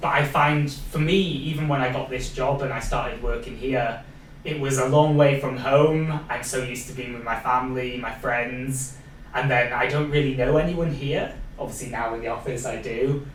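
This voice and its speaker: A man's voice: mid-range voice, steady tone, moderate pace. A young adult man with a Welsh accent, hosting a casual podcast interview and talking encouragingly and reflectively, often adding "like" and "you know". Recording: roomy, distant mic, faint background noise.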